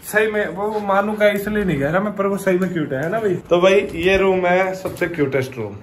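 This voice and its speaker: A man's voice: sits high, fairly quick, a little hiss or hum. Young man chatting on a house tour. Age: young adult. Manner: chatty, addresses viewers as "bhai". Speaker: vlogger. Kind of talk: casual house tour vlog. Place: indoors in a family home, walking room to room. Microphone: handheld action camera.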